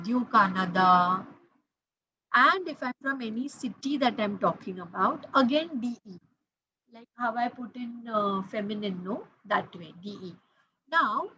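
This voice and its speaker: A woman's voice: on the high side, bright, forceful tone, lo-fi sound, a little hiss or hum. A woman, teaching French grammar patiently, repeating key points and spelling out letters. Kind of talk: explaining grammar to students.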